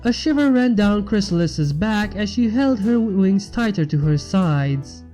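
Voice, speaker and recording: Mellow-voiced woman narrating a story. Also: medium pitch, expressive, measured pace, soft background music. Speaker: fan fiction reader.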